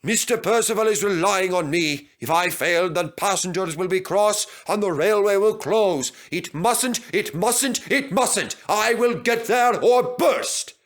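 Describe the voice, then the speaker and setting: A man's voice: sits high, unhurried, few pauses, pitched above the performer's own voice. A man voicing a gallant old steam engine in a children's story, anxious but determined, with a breathless, straining manner. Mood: straining determination.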